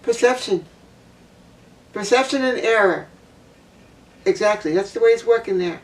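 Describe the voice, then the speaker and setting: A woman with a low voice giving a blunt opinion monologue, repeating her points for emphasis. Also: normal speed, recorded from a distance, low-quality recording. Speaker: vlogger.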